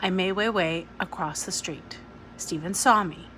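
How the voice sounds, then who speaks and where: A woman's voice: fairly low pitch, unhurried, fairly bright tone. A woman narrating a storybook aloud in the first person. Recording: noisy home recording.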